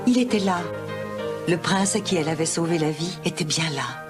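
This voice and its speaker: A woman narrating a children's animated fairy tale as a scripted storyteller. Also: fairly low pitch, fairly quick, over loud music.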